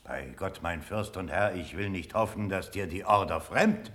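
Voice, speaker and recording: An actor playing a loyal old cavalry colonel in a classical radio drama, earnest and formal, deferential yet stubborn. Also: male voice, sits low, normal speed, a bit bright. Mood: deferential, uneasy. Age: older. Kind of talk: classical German stage verse radio drama.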